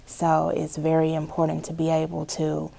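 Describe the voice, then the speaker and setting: Very creaky, flat-pitched woman. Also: moderate pace. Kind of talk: unscripted interview answer.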